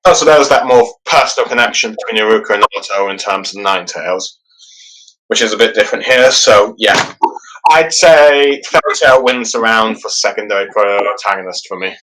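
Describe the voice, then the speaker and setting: A man hosting a podcast, talking through a story in a halting way and often pausing on "let's see". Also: on the low side, a bit bright, poor audio. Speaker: podcast host. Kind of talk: casual podcast discussion.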